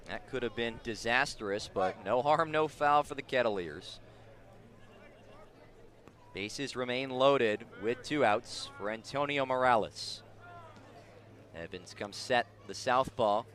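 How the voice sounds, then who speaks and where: A man delivers live baseball play-by-play for radio. His voice is bright and forceful at a middling pitch, and he describes each moment in detail, lifting his delivery as the action builds. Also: slow, long pauses. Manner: descriptive, rising excitedly on big plays. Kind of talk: live radio sports commentary.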